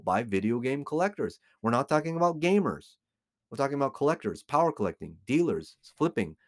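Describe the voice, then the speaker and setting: A man's voice: mid-range voice, dry recording, clean studio sound. A middle-aged man with an American accent giving informal, opinionated commentary as a host, emphatically repeating phrases for stress. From United States. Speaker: podcast host, collectibles dealer.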